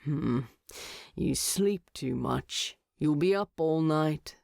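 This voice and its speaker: Gruff male dwarf king, played by a woman, storybook character, low, gravelly, grumbling yet fond. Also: takes it slowly, a little mellow, no echo, clean studio sound, full character voice.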